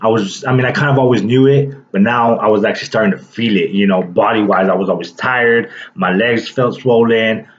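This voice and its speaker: A man's voice: on the low side, brisk, hardly any pauses, muffled recording. A man in his late twenties telling a personal story to his viewers in a loose, rambling way, full of fillers and restarts. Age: 20s. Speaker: vlogger. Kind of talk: casual personal story to camera.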